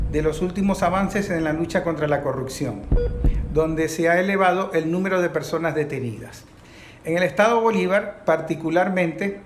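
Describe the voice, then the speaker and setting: A man with a Venezuelan accent hosting a news talk show, presenting topics and putting questions to guests. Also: on the high side. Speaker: host. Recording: roomy sound with faint music.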